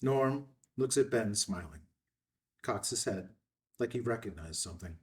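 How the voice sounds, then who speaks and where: A man's voice: lowish voice, expressive, unhurried, far from the mic. A man narrating screenplay action lines at a table read. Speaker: stage-direction narrator.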